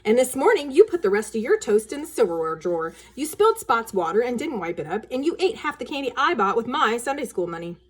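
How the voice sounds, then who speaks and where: A woman's voice: fairly high pitch, big pitch swings, fast talker, hardly any pauses, slightly rough. A woman voicing a defiant, teasing seven-year-old boy in a children's storybook. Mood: taunting. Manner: blunt, teasing.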